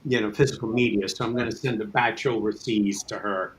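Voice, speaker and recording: A man's voice: lowish voice, fairly even intonation, brisk, low-quality recording. A man speaking as a guest in a casual promotional interview, talking in a rambling, conversational way about his creative work. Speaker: guest, writer-producer of an audio drama.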